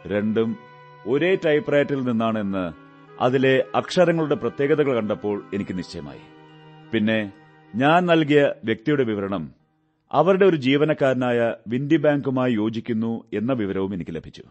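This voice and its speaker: An actor playing a shrewd, commanding male detective in a Malayalam radio drama, speaking in a measured, deliberate way. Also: mid-range voice, expressive, darkish tone, dull audio, soft background music, lightly acted. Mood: analytical.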